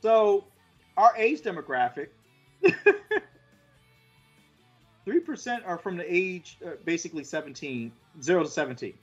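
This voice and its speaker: A man's voice: high voice, animated, a little slow, long pauses, muffled recording, soft background music. A man around forty hosting a casual podcast, rambling through stats with crude jokes and many fillers. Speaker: podcast host.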